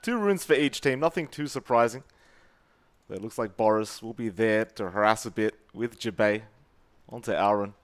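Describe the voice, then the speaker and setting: A man with an Australian accent, casting a competitive game match with brisk play-by-play and playful teasing of his co-caster. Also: on the low side. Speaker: esports caster.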